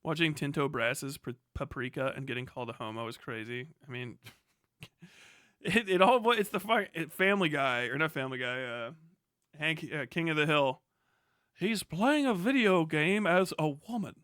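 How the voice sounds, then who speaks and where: Bright, sharp-voiced man chatting on a livestream. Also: fairly high pitch, normal speed, no echo, studio quality. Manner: profane, animated, sarcastic ranting. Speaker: livestream host. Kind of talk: casual livestream chat, riffing on movies.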